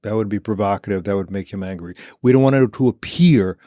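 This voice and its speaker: A man's voice: lowish voice, fast talker, mellow, no room sound. An older man hosting a policy discussion, arguing his view with stammering restarts and mock quotes of others. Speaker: host.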